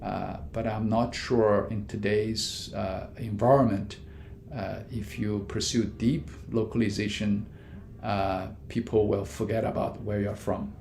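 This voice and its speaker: A man, a business professor, giving measured answers in an interview with frequent fillers and restarts. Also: little pitch movement, vocal fry, darkish tone. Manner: many fillers and restarts, non-native grammar; measured, explanatory. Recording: echoey room with faint rumble.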